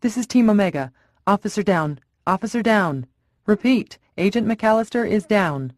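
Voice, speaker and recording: Frantic male officer on police radio, high and shouting, woman reads. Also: animated, normal speed, dry recording, clean studio sound, close to an everyday voice. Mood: urgent. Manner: urgent shouted radio reports.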